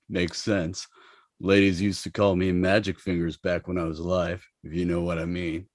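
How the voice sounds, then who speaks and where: A man's voice: low-pitched, flat delivery, unhurried, no room sound, clean studio sound. A man playing a cocky, boastful party-boy ghost in an online sitcom table read. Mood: smug. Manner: cocky, casual. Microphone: video call.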